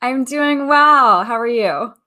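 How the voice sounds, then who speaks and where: A woman's voice: a little high, expressive, takes it slowly, sharp tone. A woman hosting a radio talk show, upbeat and friendly, reading a guest's introduction and opening the interview.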